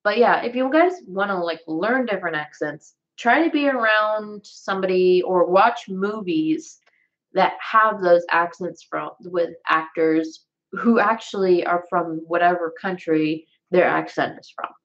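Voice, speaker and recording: A woman with a Southern US accent speaks in a slightly low voice, rambling through a casual livestream. She piles on fillers and restarts as she goes. The recording is dull and lo-fi. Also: a little slow. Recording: dull lo-fi sound.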